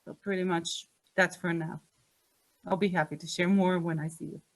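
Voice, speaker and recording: A woman's voice: fairly low pitch, little pitch movement, moderate pace, low-quality recording. A woman with an Israeli accent, a native Hebrew speaker, presenting her Hebrew and Judaics classes at a school open house over a video call.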